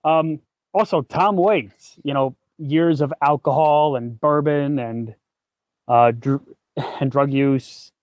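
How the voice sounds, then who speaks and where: A man's voice: a little high, takes it slowly, darkish tone, no room sound, highs cut off. A man co-hosting a podcast in a casual discussion, thinking aloud as he goes and swearing now and then. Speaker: podcast co-host.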